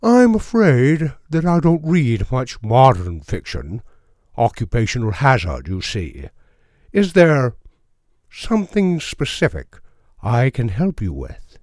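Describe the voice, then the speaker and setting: A man voicing a gaunt, courteous old bookstore owner with a strong, crisp voice and a hard-to-place accent, speaking slowly and formally. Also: mid-range voice, very animated, darkish tone, no room sound, clean studio sound. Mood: courteous, eerie. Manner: slow, measured, formally polite.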